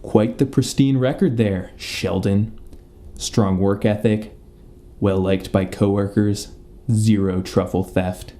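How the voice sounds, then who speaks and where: A man's voice: on the low side, fairly even intonation, takes it slowly, dark tone. A man playing a stern, methodical detective in a mock police interrogation. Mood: stern, deliberate.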